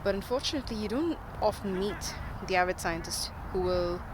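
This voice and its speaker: Earnest speaker over loud traffic noise. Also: mid-range voice, animated, a little fast. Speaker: diabetes researcher and podcaster. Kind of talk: informal spoken monologue, explaining.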